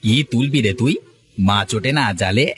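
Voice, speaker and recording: A man's voice: lowish voice, moderate pace, close to an everyday voice. A man voicing a smug elder fisherman neighbour in a cartoon, chiding and familiar. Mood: teasing. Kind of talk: Bengali cartoon story voice acting.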